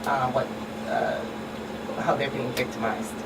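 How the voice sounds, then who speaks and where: A woman with a notably low voice co-hosts a radio discussion. She sounds a little away from the microphone, and heavy ventilation noise runs behind her. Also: fairly even intonation, unhurried. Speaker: talk show co-host. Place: small radio studio. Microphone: studio microphone on boom arm. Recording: distant mic, loud air-conditioning hum.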